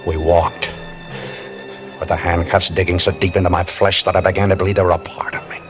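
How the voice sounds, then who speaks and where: A man's voice: low-pitched, level tone, fairly quick, over music, close to an everyday voice. An actor playing a handcuffed escaped prisoner who narrates his story in wry, tense first person, in 1940s radio acting style. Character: handcuffed escaped male prisoner, wary and scheming. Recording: dull old radio broadcast.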